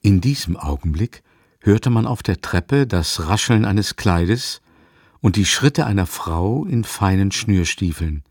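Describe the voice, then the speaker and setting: A composed storyteller, narrating a literary radio play. The voice is low and recorded close and dry. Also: male voice, lively intonation, slow pace, darkish tone, breathy edge, dry recording, exaggerated voice.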